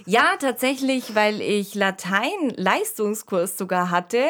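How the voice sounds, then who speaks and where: Woman chatting as podcast co-host, medium pitch, conversational. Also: animated, slow, fairly bright tone.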